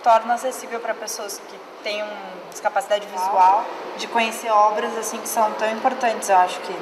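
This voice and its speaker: A woman's voice: medium pitch, steady tone, fairly quick, noisy background. A Brazilian woman with a Brazilian Portuguese accent, sharing her impressions in a thoughtful, admiring way. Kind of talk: casual reflective commentary. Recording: loud background music. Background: music.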